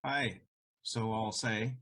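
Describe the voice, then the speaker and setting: Man playing a slow, melancholy elderly merchant. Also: lowish voice, fairly even intonation, bright, forceful tone, exaggerated voice. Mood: resigned. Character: resigned, melancholy elderly merchant facing death. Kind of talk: audio play Shakespeare acting.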